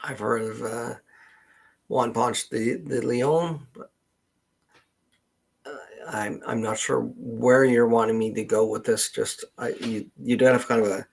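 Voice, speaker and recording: A man with a Canadian accent hosting a casual livestream chat, meandering and thinking aloud in a halting way. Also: mid-range voice, fairly even intonation, vocal fry. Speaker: livestream host, genealogist.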